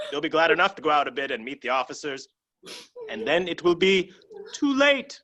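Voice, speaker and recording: Bright, flustered, pleading household servant played by an actor. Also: mid-range voice, lively intonation, moderate pace. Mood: coaxing, pleading. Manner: flustered, stammering, exclamatory. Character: fussy, anxious household servant, pleading and deferential. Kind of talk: staged reading of a stage comedy.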